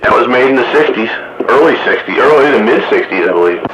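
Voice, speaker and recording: A man's voice: mid-range voice, animated, quick pace, crowd noise. A man chatting casually and plainly over CB radio, with some dry banter. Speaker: CB radio roundup regular. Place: recorded from a CB radio stream. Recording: roomy, noisy CB radio recording.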